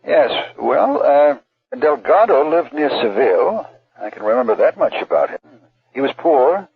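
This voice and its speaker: A polite, evasive art auctioneer in a radio drama. His courteous, hedging speech swings widely in pitch with a rough edge, heard through a tinny telephone line. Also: big pitch swings, a little slow, full character voice. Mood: courteous, guarded.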